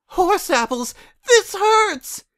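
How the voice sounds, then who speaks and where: A prim, dramatic lady unicorn played by a man from a cartoon pony story. The voice is pushed high and loud, with haughty, theatrical phrasing. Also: sing-song, extremely slow, full character voice. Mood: pained, indignant.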